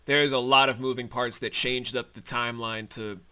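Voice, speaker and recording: A man's voice: medium pitch, flat delivery, normal speed, sharp tone, smooth. A man giving casual behind-the-scenes commentary as creator and host, informal and emphatic, with some swearing.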